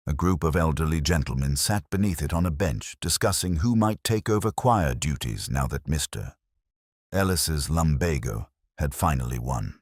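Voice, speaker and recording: A man's voice: rumbling low, husky, no echo, clean studio sound. A man narrating a scripted mystery story as an audiobook-style storyteller.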